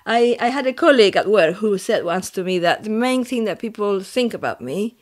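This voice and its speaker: A woman in her forties with a strong Spanish accent, from the Canary Islands, telling her life story in a relaxed, chatty way with restarts, recorded at home. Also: mid-range voice, brisk. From Canary Islands, Spain. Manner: chatty, with frequent restarts and "you know". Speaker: interviewee. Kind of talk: reflective personal storytelling. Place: living room at home, indoors.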